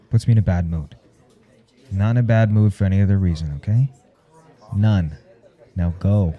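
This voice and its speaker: A man playing a flustered, jealous professor in an intimate audio roleplay, speaking haltingly and gruffly with a gravelly voice and plenty of swearing. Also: on the low side, highs cut off. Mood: irritated, dismissive. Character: jealous, flustered male professor smitten with his teaching assistant.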